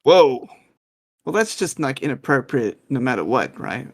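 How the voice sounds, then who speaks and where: A man's voice: mid-range voice, very animated, normal speed, creaky. A man chatting casually with his raid group over a voice call, musing and easygoing. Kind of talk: casual voice chat while gaming.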